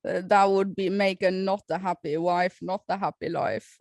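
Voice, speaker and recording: A woman's voice: lowish voice, fairly even intonation, a little mellow, no room sound, studio quality. A young woman from Norway with a Norwegian accent, co-hosting a podcast in casual chat and laughing often. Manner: giggly, quick bursts of laughter. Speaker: podcast co-host.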